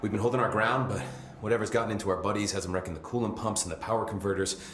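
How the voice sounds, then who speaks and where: An actor playing a chatty, nervy, loyal maintenance security chief in a video game, rambling informally with wry swearing. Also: male voice, fairly low pitch, fairly even intonation, rapid-fire, echoey room, noisy background, lightly acted. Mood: flustered, apologetic.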